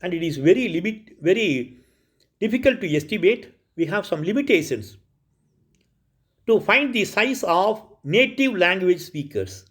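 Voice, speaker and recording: A man from India with a Tamil Indian English accent, a lecturer giving a recorded lesson, explaining slowly with frequent repetitions and self-corrections. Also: high voice, animated, breathy edge. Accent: Indian English, Tamil Nadu.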